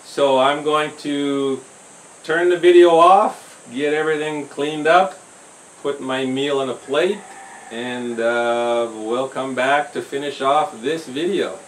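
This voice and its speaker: A middle-aged man hosting a home cooking channel, talking to the camera in a slow, gentle way with fillers and restarts, in a small brick-walled kitchen. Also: medium pitch. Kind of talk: casual talk to camera. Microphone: camera mic from across the room. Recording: roomy, distant camera sound with faint hiss.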